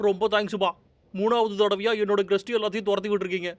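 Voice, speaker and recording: Male actor as scheming, excitable cartoon guide: high voice, wheedling and flustered, music behind. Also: racing, natural voice. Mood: exasperated. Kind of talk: dubbed children's cartoon acting.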